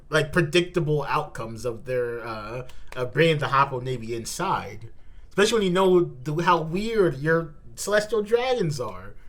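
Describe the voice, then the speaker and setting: A host giving an animated, slangy live reaction in casual commentary, repeating words and exclaiming. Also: medium pitch. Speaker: host of a manga reaction channel.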